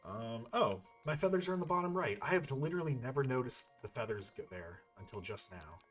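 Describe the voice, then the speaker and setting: A male gaming YouTuber talking through his play in a mid-pitched voice. He rambles and thinks aloud in a playful, informal way, with game music running underneath. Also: animated, brisk. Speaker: gaming YouTuber playing and commenting. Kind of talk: casual let's-play commentary.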